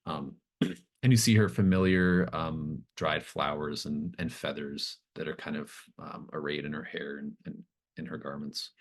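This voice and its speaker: A man improvising narration as game master of a tabletop horror game, in a halting, thoughtful way. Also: very deep voice.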